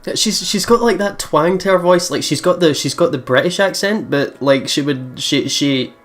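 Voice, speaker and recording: Excitable let's player over faint game music. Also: medium pitch, normal speed. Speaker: let's play host. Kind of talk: casual game commentary and reading narration aloud. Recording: game music behind commentary. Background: visual novel game music.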